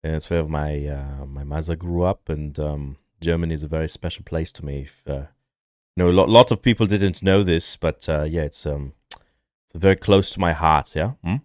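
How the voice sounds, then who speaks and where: A man's voice: rumbling low, normal speed, dry recording, clean studio sound. A man hosting a reaction video, chatting casually in a rambling way with lots of fillers.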